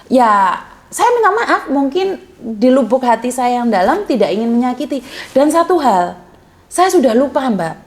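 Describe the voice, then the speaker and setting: A woman's voice: high-pitched, very animated, normal speed. A woman hosting a call-in advice chat, speaking bluntly and plainly, by her own account coarse and outspoken. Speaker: host of a call-in advice channel. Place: Hong Kong.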